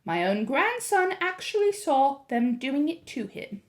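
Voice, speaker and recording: A woman voicing a composed, deliberate old grandmother. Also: high voice, big pitch swings, voice pushed up. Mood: firm, patient. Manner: measured, deliberate, emphatic.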